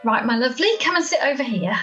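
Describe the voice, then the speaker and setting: A woman's voice: on the high side, big pitch swings, quick pace, a bit bright, dull audio. A woman playing a friendly, eager daughter in an amateur radio play, effusive and affectionate. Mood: affectionate, helpful. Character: friendly, eager daughter helping host an evening. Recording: echoey room, home video call, faint music.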